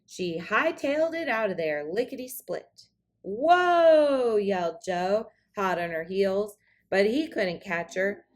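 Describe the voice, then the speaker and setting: Expressive woman reading a children's storybook. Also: medium pitch, measured pace. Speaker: storybook reader. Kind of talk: expressive children's read-aloud narration.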